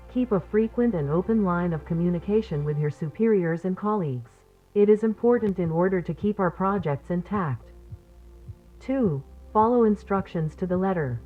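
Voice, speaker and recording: A woman playing a polite orientation video narrator, formal and measured, in scripted instructional delivery. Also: fairly low pitch. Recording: muffled lo-fi training tape. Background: background music.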